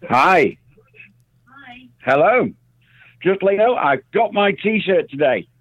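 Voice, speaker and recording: A male caller with a high, husky voice, speaking slowly and cheerfully. The sound comes over a tinny phone line. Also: animated, fairly bright tone.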